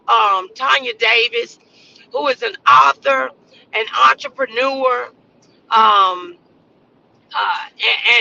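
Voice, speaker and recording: Slow-paced man, gravelly edge, preacher-like motivational host, exhorting, repetitive emphasis, rhetorical questions, slightly muffled lo-fi sound. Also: very high-pitched, sharp tone.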